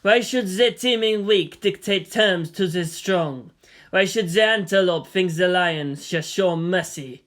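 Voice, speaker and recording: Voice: medium pitch, fairly bright tone, lightly acted. A performer as a sneering, cruel teenage villain. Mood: giddy. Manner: sneering, taunting, drawn-out menace. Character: cruel, gloating, power-drunk teenage boy villain.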